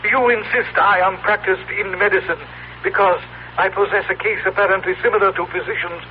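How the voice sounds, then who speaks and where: A man's voice: sits high, fairly even intonation, lightly acted. An actor playing a formal, proud telepathic man from the far future, speaking in stilted, solemn phrases in a radio drama. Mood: indignant, proud. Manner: stilted, measured, solemn diction with deliberate pauses. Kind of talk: old-time radio drama acting. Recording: tinny, humming, noisy radio recording.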